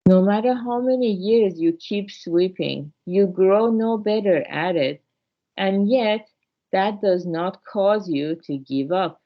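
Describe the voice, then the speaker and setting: A woman voicing a kind, wise male Buddhist master in a storybook, gently instructive, on a dull recording. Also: medium pitch, slow, voice pushed up. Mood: encouraging, instructive. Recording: dull, lo-fi sound.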